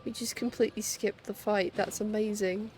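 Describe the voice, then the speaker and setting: A woman's voice: medium pitch, steady tone, normal speed, a little mellow. A woman hosting a game livestream, chatting casually and commentating as she plays. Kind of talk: casual gameplay commentary, reading game text aloud. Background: game music behind the voice.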